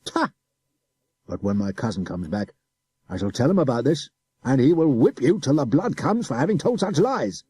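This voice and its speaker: A blustering middle-aged soldier, loud and pushy, with a raised voice that swings up and down as he bullies and cajoles. A man acts him out in a storybook reading. The voice is husky and mellow, in a dry close sound. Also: mid-range voice, sing-song, normal speed, pitched above the performer's own voice. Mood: coaxing laughing. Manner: blustering, chuckling, coaxing. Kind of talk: audiobook character voice.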